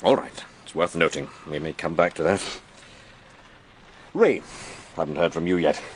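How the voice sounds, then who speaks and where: An actor playing an impatient, pressured senior detective in a radio crime drama, brisk and wry in manner. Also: male voice, sits low, lively intonation, slight background noise. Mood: brisk, authoritative. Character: impatient, pressured male senior police detective running an investigation. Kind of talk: naturalistic radio drama acting.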